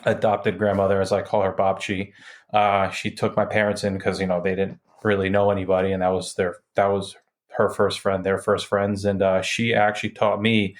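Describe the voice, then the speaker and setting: A man's voice: low-pitched, monotone, moderate pace. A man from New Jersey whose first language is Polish, chatting casually as a podcast guest. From New Jersey, United States. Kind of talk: casual chat.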